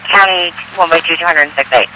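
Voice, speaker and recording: A man dispatching over police radio, crisply repeating back reports in procedural phrases. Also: on the high side, fast talker. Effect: police radio transmission. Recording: police scanner radio with faint noise.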